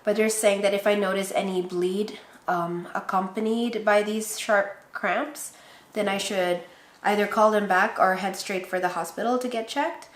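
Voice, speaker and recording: A woman's voice: mid-range voice, steady tone, normal speed. A woman with a Filipino accent chatting casually with her viewers, laughing often and teasing herself. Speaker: pregnancy vlogger. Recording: roomy echo.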